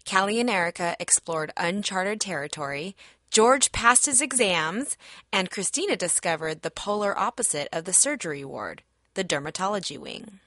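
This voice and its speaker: A woman reading a scripted podcast introduction in a polished, even manner. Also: mid-range voice, moderate pace, no echo, studio quality. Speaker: podcast announcer.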